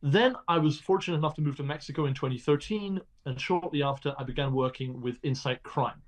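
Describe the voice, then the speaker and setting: Measured, articulate man being interviewed. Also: on the high side. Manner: articulate and measured, occasional restarts. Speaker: guest, crime journalist. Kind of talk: explanatory interview answers.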